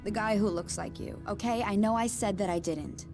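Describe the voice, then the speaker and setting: A woman's voice: mid-range voice, music in the background, natural voice. An actress playing a shaken young woman who talks nervously about something she saw. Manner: nervous, rushed.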